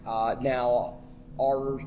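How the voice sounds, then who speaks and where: An enthusiastic man from Canada co-hosts a sports chat, his throat scratchy and his talk full of cheerful plugs. Clear background chatter and room noise fill the pub behind him. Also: medium pitch, little pitch movement, drawn-out words, mellow. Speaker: co-host and karaoke host.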